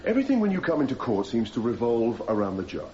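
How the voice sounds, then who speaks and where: An actor playing an impassioned defence barrister, making a measured, persuasive courtroom speech to a jury in a radio drama. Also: male voice, medium pitch, sing-song, darkish tone, natural voice. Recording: slightly dull, noisy radio broadcast copy.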